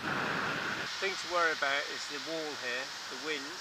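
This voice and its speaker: Guy paragliding, chatty instructor, voice a bit high, wind roaring on the helmet cam. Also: moderate pace. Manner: chatty, explaining as he flies. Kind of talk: casual narration while flying. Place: outdoors, paragliding over sea cliffs. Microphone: helmet-mounted camera mic. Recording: loud wind noise on an action-camera mic.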